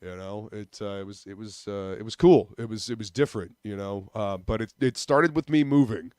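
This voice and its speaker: A man's voice: fairly low pitch, brisk, a little mellow, no room sound, studio quality. A man from northern Indiana chatting casually on a podcast, rambling with many fillers and restarts. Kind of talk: casual chat.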